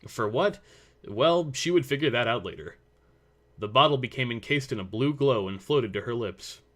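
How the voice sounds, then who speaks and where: A man's voice: medium pitch, lively intonation, normal speed, a bit bright. A man reading scripted story narration as the narrator.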